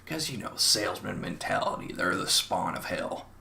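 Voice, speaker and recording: Low, gravelly Southern storyteller guy. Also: lively intonation, normal speed, pushed and bright. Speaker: storyteller, show host. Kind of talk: casual comic anecdote storytelling.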